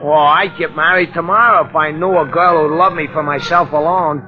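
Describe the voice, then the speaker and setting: An actor playing a folksy, easygoing police sidekick as 1940s radio comic relief, chatty, ungrammatical and mock-pompous. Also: male voice, fairly high pitch, steady tone, moderate pace, natural voice. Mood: joking, easygoing. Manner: chatty, colloquial, bad grammar, mock-pompous. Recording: muffled, noisy old radio recording.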